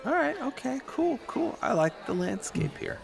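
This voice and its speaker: A man playing a curious, easily impressed new high school boy in an improvised comedy radio play, asking and reacting in casual slang. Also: very animated, normal speed, gravelly, soft background music, exaggerated voice. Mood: awkwardly approving.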